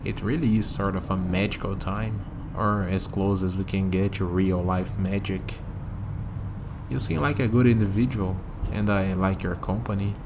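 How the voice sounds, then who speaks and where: A man's voice: low-pitched, normal speed, noisy background. A man acting a friendly, easygoing human on a night walk in an ASMR roleplay, musing conversationally to the listener. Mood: reflective, warm.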